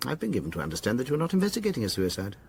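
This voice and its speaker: Wily, animated academic. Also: male voice, mid-range voice, gravelly. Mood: arch. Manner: arch, teasing, deliberate. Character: wily, evasive veteran male chemist academic, condescending. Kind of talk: British radio drama acting. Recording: noticeable ambient background noise.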